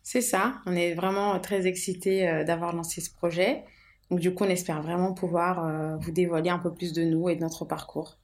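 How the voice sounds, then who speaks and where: A woman's voice: lowish voice, flat delivery, normal speed. A young woman from Portugal, co-hosting a podcast about her brand, talking in a hesitant, earnest way with many fillers. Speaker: podcast co-host, brand founder. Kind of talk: casual conversational podcast.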